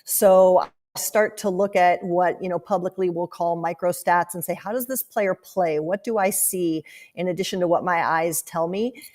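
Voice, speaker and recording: Woman sports analyst on a podcast, kinda low voice, breaking stuff down in a chatty way. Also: normal speed, darkish tone. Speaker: guest TV hockey analyst.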